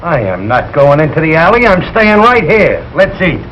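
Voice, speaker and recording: Grumpy working-class family man, American accent, highish pitch, exasperated, folksy, radio comedy, muffled noisy old recording. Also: sing-song, moderate pace, fairly bright tone. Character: blustering, grumpy, jealous working-class husband and father. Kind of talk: 1940s radio sitcom acting.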